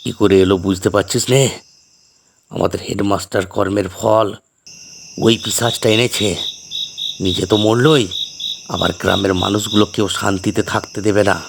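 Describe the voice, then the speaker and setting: A grim, knowing village man from a horror cartoon, acted by a man, talks with impatient force. The voice is low and creaky, with clear insect noise around it. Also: steady tone, moderate pace, long pauses. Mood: grim, scolding.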